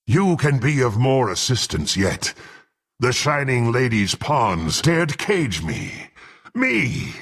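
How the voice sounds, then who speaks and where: Gravelly, menacing half-orc warrior. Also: male voice, on the low side, big pitch swings, slow pace, a bit bright, full character voice. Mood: vengeful, imperious. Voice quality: gruff, gravelly. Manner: haughty, threatening, formal archaic speech. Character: menacing proud half-orc warrior sworn to dark patron. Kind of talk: dramatic fantasy game voice acting.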